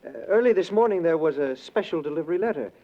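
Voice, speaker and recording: Man playing meek, hesitant shopkeeper, animated pitch. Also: fast, lightly acted. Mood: meek, puzzled. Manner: polite, hesitant. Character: meek, puzzled, deferential florist shopkeeper. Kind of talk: 1940s radio drama acting. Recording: muffled 1940s radio broadcast.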